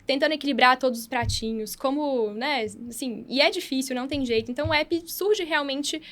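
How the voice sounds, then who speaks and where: A woman's voice: high voice, fairly bright tone. A woman doctor hosting a podcast, telling stories enthusiastically in a casual, chatty way with lots of fillers. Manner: enthusiastic, fast storytelling with many fillers. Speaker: podcast host, radiotherapy doctor and app founder.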